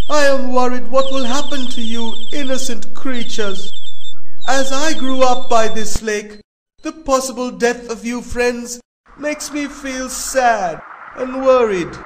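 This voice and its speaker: A man voicing a sly old male crane in a children's animated story, theatrical and wheedling. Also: very high voice, a little slow, noisy background, voice pushed up. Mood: falsely sorrowful.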